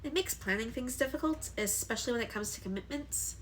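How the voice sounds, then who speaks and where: A woman's voice: on the high side, expressive, brisk, a bit bright, a little hiss or hum. Congested young woman, hesitant vlog talk. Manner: hesitant, with frequent ums and restarts. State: congested from allergies. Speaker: vlogger. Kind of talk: candid personal vlog talk.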